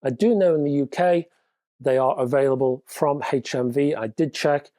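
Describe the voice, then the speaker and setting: A man's voice: mid-range voice, normal speed, dark tone, dry recording, clean studio sound. Man hosting a hi-fi news roundup. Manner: casual, chatty, with asides. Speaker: host.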